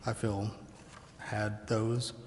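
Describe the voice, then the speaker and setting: A man speaking as a council member in discussion, hesitant and halting as he makes his point. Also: lowish voice, flat delivery. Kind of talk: meeting discussion. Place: indoor council chamber. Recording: reverberant meeting chamber with background noise.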